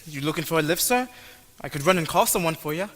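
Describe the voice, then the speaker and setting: Man as an earnest, polite young newcomer; bright, fairly high voice; hall reverb, faint noise. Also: lively intonation, fast. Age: young adult. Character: young Cuban immigrant man, polite and lost. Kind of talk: student stage play.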